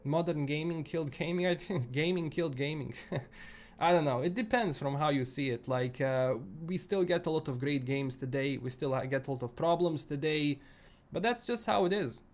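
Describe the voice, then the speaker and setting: A man's voice: a little high, normal speed. A man with a Bosnian accent chatting casually on a live stream, rambling and restarting his sentences often. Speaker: livestream host.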